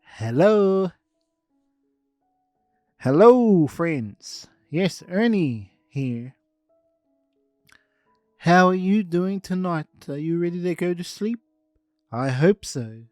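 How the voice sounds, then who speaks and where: A man's voice: sits high, lively intonation, slow pace, long pauses, darkish tone, dry recording, studio quality, soft background music. A man voicing a cheerful puppet boy, with chirpy, sing-song bedtime talk.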